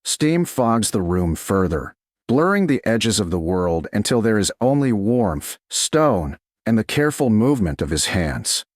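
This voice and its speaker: A man reading a slow-burn romance story aloud in a slow, hushed, deliberate manner. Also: on the low side, big pitch swings, no room sound, studio quality. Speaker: narrator. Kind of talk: scripted romance narration.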